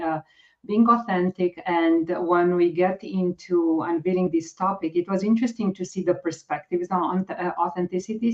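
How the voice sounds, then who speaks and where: A woman with a Romanian accent talks through her story in a lower register, pausing often and restarting her sentences. The sound is muffled and lo-fi. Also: a little mellow. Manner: hesitant, many fillers and restarts. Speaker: guest, personal coach. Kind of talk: casual interview talk. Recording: dull lo-fi audio.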